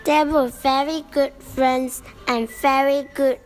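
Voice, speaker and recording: Slow, high-voiced young narrator. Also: flat delivery, soft background music. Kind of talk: scripted puppet show narration.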